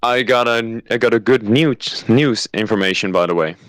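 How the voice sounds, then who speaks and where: A man's voice: on the low side, a bit bright, no echo, natural voice. A man playing Freddy, an awkward, clueless amateur singer who hesitates and makes up clumsy rhymes as he goes. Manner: hesitant, halting, making up rhymes. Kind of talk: improvised comedy skit.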